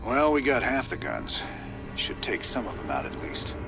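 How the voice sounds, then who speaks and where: An actor playing a tough, wry rebel commander in a game cutscene, talking in a laconic, folksy way. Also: male voice, medium pitch, sing-song, normal speed, creaky, music in the background. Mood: guarded, tough. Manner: laconic, folksy, dry humor. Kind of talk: video game cutscene acting.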